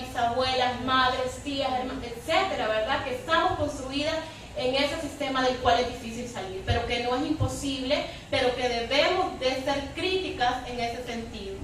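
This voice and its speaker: A woman's voice: high-pitched, moderate pace, a bit bright. A woman arguing analytically and emphatically as a debate panelist, often ending on a questioning tag. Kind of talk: impassioned argument in a public debate. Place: echoey room at a public debate. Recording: echoey hall, distant mic, background noise.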